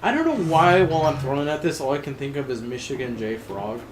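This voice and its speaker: A man's voice: medium pitch, animated, fast, roomy sound. Rambling, joking man over game music. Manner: rambling, joking, lots of fillers. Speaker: let's play co-host. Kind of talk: casual gameplay commentary.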